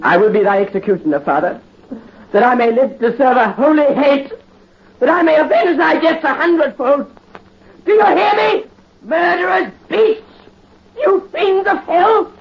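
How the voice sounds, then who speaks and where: A man's voice: very high voice, moderate pace, vocal fry. An actor playing a fervent young French nobleman devoted to vengeance and to his father. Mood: frenzied. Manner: fervent, swings from hushed vows to frenzied cries. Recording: dull, faintly hissy 1930s radio recording.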